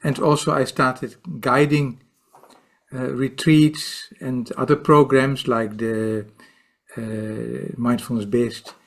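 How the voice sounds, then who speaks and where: Slow, halting Dutch-accented man in his sixties. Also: mid-range voice. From Netherlands. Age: 60s. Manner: slow, halting, with many repeated words and fillers. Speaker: guest, meditation teacher and author. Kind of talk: podcast interview.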